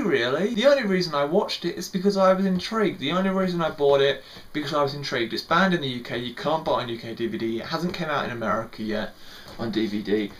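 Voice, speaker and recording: A young man giving a casual spoken film review, rambling a little and repeating words, with lots of "sort of" and "like". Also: fairly high pitch.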